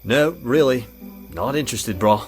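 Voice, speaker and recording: A man playing a laid-back, dry-witted male friend in a visual novel, with a casual, deadpan delivery. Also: mid-range voice, normal speed, soft background music, close to an everyday voice.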